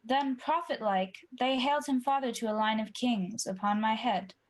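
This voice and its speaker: A woman's voice: on the high side, normal speed, natural voice. A young woman acting an ambitious, guilty usurper king in a Shakespeare radio play. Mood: brooding. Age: young adult. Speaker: student actor.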